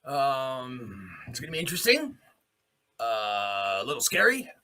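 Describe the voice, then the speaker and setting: A man's voice: medium pitch, wildly swooping pitch, measured pace, sharp tone. A man hosting a solo commentary show, delivering a blunt, off-the-cuff opinionated monologue with free use of swearing.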